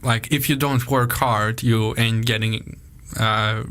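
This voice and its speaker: A young man from Estonia, a native Russian speaker, talks casually as a podcast guest. His voice sits at a middling pitch for a man, and he rambles loosely, scattering "like" through his sentences. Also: fairly even intonation, slow pace, a bit bright. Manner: loose and chatty, leaning on "like" fillers. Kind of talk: casual conversation.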